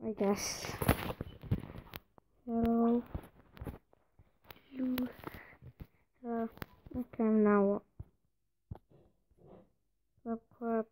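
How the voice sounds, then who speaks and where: A woman's voice: on the high side, steady tone, very slow, pauses often. A young woman chatting on a casual live stream, distracted and muttering to herself as she reads the chat. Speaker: livestreamer. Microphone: phone or device mic. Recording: dull lo-fi phone stream with faint noise.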